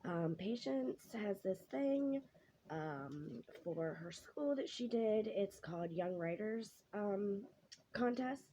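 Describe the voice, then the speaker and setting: A woman, hoarse from losing her voice, chatting casually to her viewers in a rambling way. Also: medium pitch, animated, takes it slowly, warm tone. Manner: rambling, many ums and self-corrections. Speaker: vlogger. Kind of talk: casual chat to camera. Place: home kitchen while cooking. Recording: dull lo-fi home recording, faint noise.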